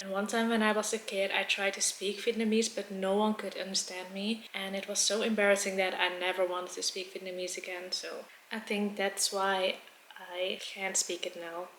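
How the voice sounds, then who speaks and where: A woman's voice: medium pitch, fairly bright tone. Woman, 20s, casual vlog host, level delivery, roomy recording with faint noise. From Netherlands. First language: Dutch. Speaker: mukbang video host. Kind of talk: casual vlog chat while eating.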